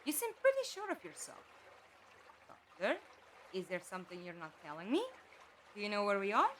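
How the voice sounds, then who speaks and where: A woman acting in an audio drama as a practical, inquisitive captive who talks in a direct, conversational, gently wry way. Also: medium pitch, huge pitch swings, a little fast, slight background noise. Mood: suspicious, probing.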